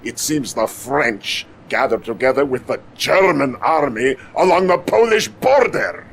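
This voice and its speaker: Pompous russian premier, slow n gravelly, swoopy theatrical delivery, bit of noise behind, game cutscene. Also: male voice, mid-range voice, animated, full character voice. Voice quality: gravelly, creaky. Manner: theatrical, halting, blustery. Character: jovial, pompous, wavering old Soviet premier. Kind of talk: video game cutscene acting.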